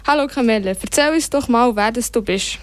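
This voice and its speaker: A woman's voice: fairly high pitch, a little fast, smooth, no room sound. A woman hosting a community radio interview, giving the station links and asking plain, friendly questions. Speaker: radio host.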